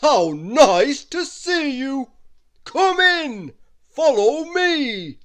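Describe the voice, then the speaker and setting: A man playing a booming jolly giant wizard. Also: very animated, very slow, full character voice. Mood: hearty welcome. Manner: booming, shouting, laughing.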